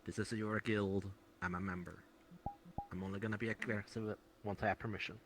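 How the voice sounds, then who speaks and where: A man speaking in character as a guild member in an online roleplay, with formal, deliberate phrasing. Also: on the low side, fairly even intonation. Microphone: online voice chat in a virtual world. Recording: faintly noisy online voice chat.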